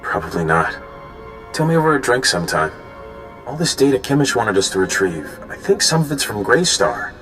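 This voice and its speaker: An actor playing an idealistic young resistance informant who talks in an earnest, friendly way. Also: male voice, medium pitch, big pitch swings, moderate pace, fairly bright tone, faint music behind.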